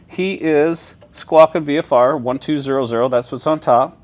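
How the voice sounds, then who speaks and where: A man's voice: fairly high pitch, normal speed, darkish tone. Plain-spoken man explaining things step by step. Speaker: air traffic controller. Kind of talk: casual walkthrough of equipment. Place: inside an airport control tower.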